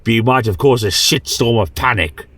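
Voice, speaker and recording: A man's voice: medium pitch, normal speed, a bit bright, voice pushed up, natural voice. A man playing an old, fleshless undead man with a wry, teasing manner in an audio fantasy tale. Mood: wry amusement.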